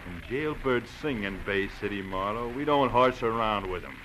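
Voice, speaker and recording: An American actor playing a gruff, bullying police lieutenant in a hard-boiled radio drama, with a sneering, barking, slangy manner. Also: male voice, fairly low pitch, expressive, moderate pace, fairly bright tone. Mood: smug, forceful. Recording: noisy vintage radio recording.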